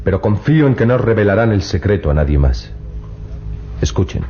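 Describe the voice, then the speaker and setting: An actor playing an earnest, persuasive young treasure hunter in a vintage radio drama. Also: male voice, on the low side, very animated, a little fast, warm tone, faint music behind. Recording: dull lo-fi old radio recording.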